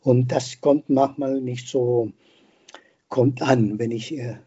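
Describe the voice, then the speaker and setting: Older man, non-native foreign accent, deep mellow timbre, husky, halting interview speech, muffled low-fidelity recording. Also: fairly even intonation. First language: not German; a non-native speaker of German. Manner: halting, repeats words while searching for phrasing. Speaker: podcast guest, veterinarian and hunter. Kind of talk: reflective interview talk.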